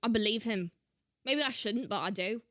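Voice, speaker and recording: A woman's voice: on the high side, moderate pace, a bit bright, no room sound, studio quality. A woman doing an exaggerated put-on British accent, giving theatrical, playful reaction commentary and repeating phrases for emphasis. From United States. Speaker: host of a reaction show.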